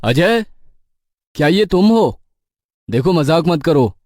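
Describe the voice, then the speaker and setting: A man voicing an anxious young man in an animated horror story. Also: on the high side, takes it slowly, no echo, voice pushed up. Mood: nervous, searching.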